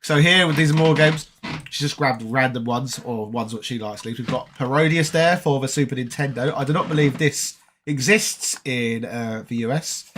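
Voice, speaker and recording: A British man with a tired, raspy voice, chatting casually on a live stream and reading viewer comments aloud. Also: mid-range voice, moderate pace, fairly bright tone, slight background noise. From Britain. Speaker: retro gaming streamer.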